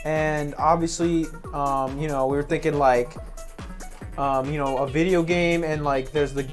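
A man's voice: on the high side, unhurried, faint music behind. Young man teasing friends on a couch. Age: young adult. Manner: teasing, rambling deadpan setup. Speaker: co-host. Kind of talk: joking banter while watching a game. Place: living room couch indoors. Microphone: lapel.

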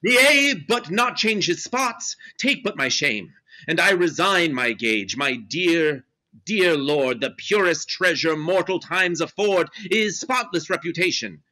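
A man's voice: on the high side, expressive, takes it slowly, sharp tone, full character voice. A man voicing a proud, wronged nobleman in a Shakespeare reading over a video call, with impassioned, defiant verse delivery. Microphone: video call webcam.

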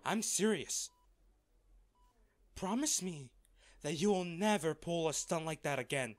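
Slow, emotional man acting a devoted, distraught lover. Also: on the high side, lively intonation. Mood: pleading, upset. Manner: halting, emotional, earnest delivery with pauses. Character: wealthy man, devoted and distraught, confessing his love. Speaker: voice actor in audio roleplay. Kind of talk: intimate audio roleplay drama.

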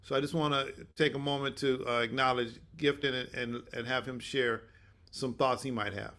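An older man hosting an online session informally, speaking haltingly with many restarts. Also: mid-range voice, steady tone, a bit bright. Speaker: host and mentor. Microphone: video call.

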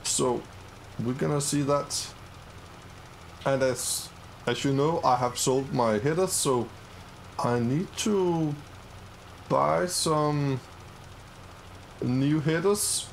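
Guy playing a farm game, chill slow talk, kinda high voice. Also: vocal fry, slight background noise. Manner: upbeat, easily excited, many fillers. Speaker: gaming channel host. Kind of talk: casual let's-play commentary.

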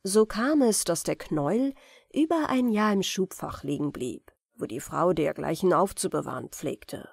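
A woman's voice: on the low side, animated, unhurried, creaky, a little mellow, slightly rough, no echo, clean studio sound. A woman reading a fairy tale as scripted audiobook narration for bedtime.

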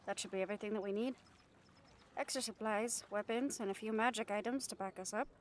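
Woman acting as cheeky female catgirl pirate, mid-pitched, sarcastic banter, salty tongue, audio drama, water ambience. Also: a little fast, slight background noise, close to an everyday voice. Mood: breezy.

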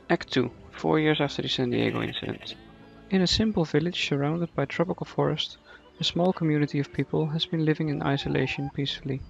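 A man narrating a scripted radio play in a measured, dramatic storytelling manner. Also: a little high, gravelly, dull audio.

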